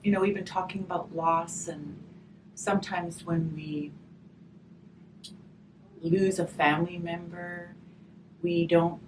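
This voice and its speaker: A woman speaking softly and reflectively in an unhurried way, as if leading a gentle group session and storytime. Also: fairly low pitch, fairly even intonation. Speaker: hospice volunteer leading a grief session. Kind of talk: gentle spoken session with storybook read-aloud. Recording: distant microphone, clearly noisy room ambience.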